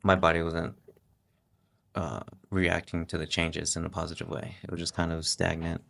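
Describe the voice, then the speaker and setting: A middle-aged man talking casually as a guest on his wife's podcast, relaxed and halting, with many restarts. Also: sits low, creaky. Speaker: podcast guest, host's husband. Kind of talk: casual interview talk.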